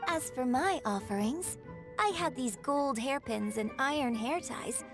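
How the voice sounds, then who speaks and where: Very high, bubbly young girl. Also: animated, a little slow, over music. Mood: proud, excited. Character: bubbly, cute, mischievous young girls. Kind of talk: video game voice acting.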